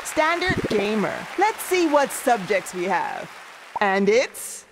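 A woman's voice: on the high side, sing-song, slow pace. A woman playing a cheerful cartoon co-host of a video game quiz show, with a presenterly announcing manner. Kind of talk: scripted game show hosting. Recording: reverberant studio with crowd noise.

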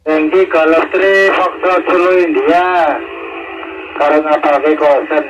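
A man's voice: on the high side, steady tone, takes it slowly, highs cut off, over music. A man with an Indonesian accent heard over a two-way radio, working as an amateur operator in a casual exchange of call signs. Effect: two-way radio transmission. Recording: noisy radio repeater heard through speaker.

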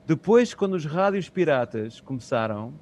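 A middle-aged man with a European Portuguese accent, answering interview questions in a halting, conversational way over a video call. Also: fairly high pitch, sing-song, darkish tone. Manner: halting, searching for words, repeating phrases. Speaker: interview guest, community radio co-founder. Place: small room at home, on a video call. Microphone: earphone headset on video call.

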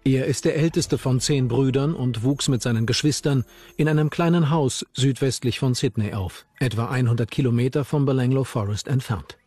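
A man's voice: medium pitch, moderate pace, a little mellow, husky, dry recording. A man reading scripted narration for a documentary.

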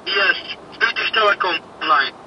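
Call center guy, polite and patient, kinda high voice, muffled phone sound. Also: unhurried. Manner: polite, patient, then puzzled. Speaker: customer service agent. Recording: muffled phone line with faint hiss.

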